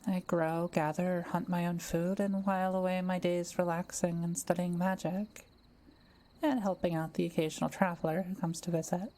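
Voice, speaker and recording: A woman playing a kind, welcoming young witch who lives alone in the forest, speaking gently and playfully to the listener in a roleplay audio drama. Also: fairly low pitch, little pitch movement, natural voice. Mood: gentle, reassuring. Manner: gentle, unhurried, softly playful.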